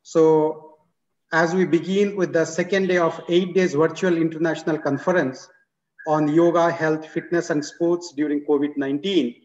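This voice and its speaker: A man's voice: on the high side, fairly even intonation, normal speed, darkish tone. Formal Indian man hosting an online conference. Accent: Indian English. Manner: polite and formal, says sir often. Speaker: conference moderator. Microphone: computer mic on a video call. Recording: muffled video call audio.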